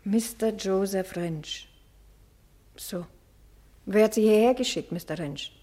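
A woman playing a melancholy spinster in a vintage radio drama. Her voice is soft-edged and dark, and she speaks in short, hesitant phrases with a wary reserve. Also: medium pitch, takes it slowly, lightly acted. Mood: wary, reserved. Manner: hesitant, terse, subdued. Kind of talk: 1960s German radio play acting.

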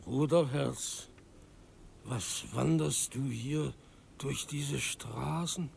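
A man's voice: medium pitch, drawn-out words, gravelly, a little hiss or hum, exaggerated voice. An actor playing a tipsy, insistent old man with slurred speech in a radio play. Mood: wheedling. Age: older.

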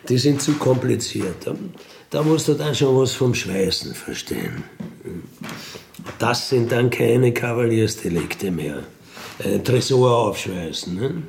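Slow, gravelly Bavarian man telling stories. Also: mid-range voice, very animated, a little mellow, slight background noise. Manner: rambling, colloquial, frequent tag questions, throat clearing. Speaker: storyteller, self-described burglar. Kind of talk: casual first-person anecdotal monologue.

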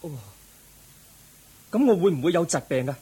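A man's voice: a little high, animated, dark tone, slightly rough. A man acting an earnest, troubled young prince in a Cantonese radio drama, full of wondering questions. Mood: puzzled, curious. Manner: inquisitive, wondering, drawn-out questioning.

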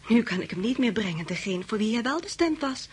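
A woman playing a persistent young milliner girl in a radio drama, with a husky, breathy voice. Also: a little high, lively intonation, brisk. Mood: coaxing. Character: pretty young milliner girl delivering love letters, persistent.

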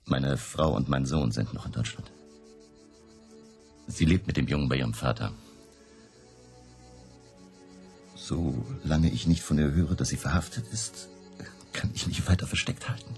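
An actor playing a weary, fearful political refugee in a German radio drama, telling his past in a halting, subdued, gravelly way. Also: rumbling low, a little mellow. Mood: anguished, resigned. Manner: halting, subdued confessional storytelling. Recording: music behind the voice.

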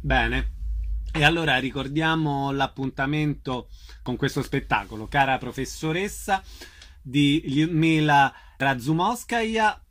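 A man's voice: medium pitch, unhurried, a bit bright. A man hosting a radio theatre programme, putting questions to guests and announcing shows. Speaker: radio host, interviewer.

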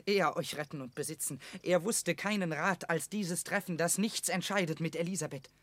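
An actor playing a fervent, reckless young conspirator in a classical radio drama, speaking with urgent intensity. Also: male voice, fairly high pitch, normal speed, a bit bright, no room sound, natural voice.

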